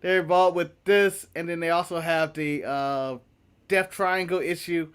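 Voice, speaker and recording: A man's voice: on the high side, steady tone, unhurried, fairly bright tone, clean tone. A man co-hosting a casual wrestling review podcast, joking and laughing often. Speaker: podcast co-host.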